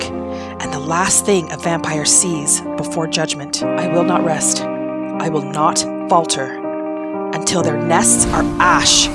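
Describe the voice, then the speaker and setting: A woman's voice: sits low, voice pushed down. A woman voicing a grim, resolute vampire hunter who swears vengeance, in solemn dramatic narration for a game roleplay. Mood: vowing, resolute. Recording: loud guitar music under the voice.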